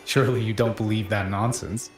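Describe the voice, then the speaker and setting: An actor playing a guarded bartender informant in a video game, measured and wry. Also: male voice, on the low side, steady tone, lightly acted. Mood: wry wariness. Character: guarded, smooth-talking male bartender informant. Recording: roomy sound with music behind. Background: guitar music.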